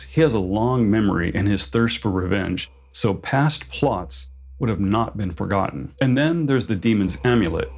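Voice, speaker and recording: A man's voice: lowish voice, vocal fry, a little mellow. A man hosting a channel, reading a scripted explainer as narration. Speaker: host of a tabletop RPG lore channel.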